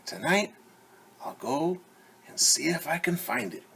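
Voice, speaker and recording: A man voicing a small, hopeful toy bear in a storybook read-aloud, speaking high and soft with wonder. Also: animated, unhurried, vocal fry, a little hiss or hum, heavily acted. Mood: wistful.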